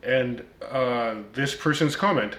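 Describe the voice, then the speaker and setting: Guy w/ a bit of a turkish accent, lots of um and uh, dry comebacks, mid pitch, echoey room. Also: takes it slowly, fairly bright tone. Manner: many ums and uhs, wry comebacks. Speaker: watch reviewer, channel host. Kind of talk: casual vlog commentary.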